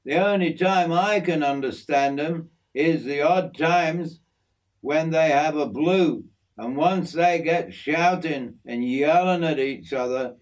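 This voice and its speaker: A man's voice: fairly high pitch, slow pace, voice pushed up, poor audio. A man voicing a friendly middle-aged Australian working man and father, with a broad, slangy, matey drawl, in an audiobook. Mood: chatty. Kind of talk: storybook character voice.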